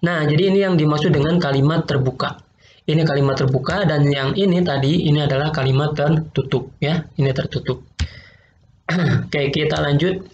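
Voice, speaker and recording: A man's voice: a little high, steady tone, normal speed, a little mellow, highs cut off, a little hiss or hum. A man teaching a logic lesson online, explaining patiently and repeating points with many small checks like "right?".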